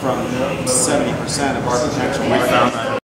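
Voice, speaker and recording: A man's voice: mid-range voice, normal speed, over a noisy crowd. Laid-back man talking beer in a brewpub. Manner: relaxed, matter-of-fact, businesslike. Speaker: brewery owner. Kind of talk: casual interview answers. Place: brewpub dining room, indoors. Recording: roomy camcorder sound.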